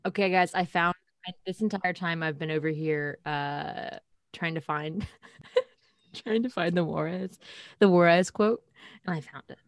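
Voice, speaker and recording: Woman, podcast panelist, lowish voice, dry close sound, casual chat. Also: measured pace, dry recording.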